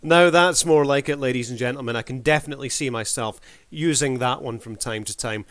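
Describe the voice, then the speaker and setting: A man's voice: medium pitch, fairly bright tone, no room sound. Man hosting with dry, close sound. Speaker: video reviewer and host. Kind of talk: casual review commentary.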